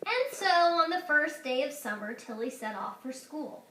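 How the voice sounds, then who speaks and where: A woman reads a children's storybook expressively in a high, bright voice. The recording is echoey and distant, with faint room noise. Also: big pitch swings, moderate pace. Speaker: storybook reader. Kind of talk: expressive children's read-aloud narration.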